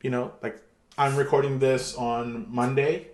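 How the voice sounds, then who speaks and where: A middle-aged man from Turkey giving a pre-recorded online university lecture in a relaxed, chatty way with many fillers. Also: medium pitch, a little slow. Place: home room. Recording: echoey room.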